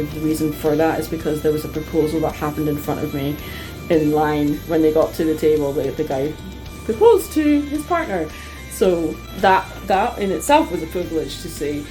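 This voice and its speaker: A woman telling personal stories to her viewers in a casual, chatty, expressive way, like an enthusiastic fan. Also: sits low, poor audio. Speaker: vlogger.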